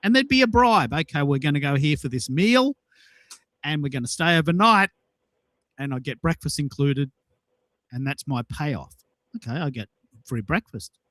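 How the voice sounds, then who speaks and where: A man's voice: a little high, lively intonation, moderate pace, pauses often, fairly bright tone, dry recording. A man hosting a casual audio chat, telling personal anecdotes in an emphatic way and repeating himself for effect. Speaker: host of a social audio show.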